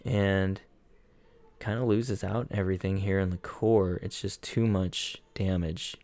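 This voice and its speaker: Gravelly low-voiced man, hoarse. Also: measured pace. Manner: relaxed, conversational breakdown of strategy. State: hoarse, losing his voice. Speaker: clan player reviewing war attacks. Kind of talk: casual gameplay commentary.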